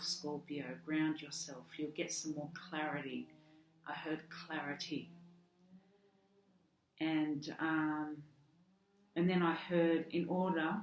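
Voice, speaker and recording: A woman with an Australian accent who is losing her voice, giving a chatty tarot card reading with soft laughs and fillers. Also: low voice. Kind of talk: casual direct-to-camera tarot reading. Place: home room with garden work outside. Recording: echoey room, faint outdoor garden work noise.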